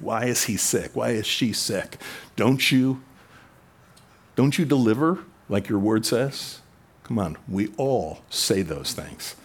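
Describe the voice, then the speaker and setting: A man preaching a sermon in a conversational way, rising in pitch and volume for emphasis. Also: husky. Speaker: pastor.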